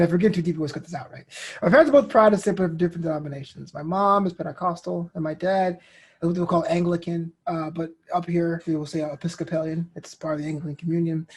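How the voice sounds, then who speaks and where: A man with a husky voice talking casually as an interview guest, telling things in an animated, informal way. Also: high voice.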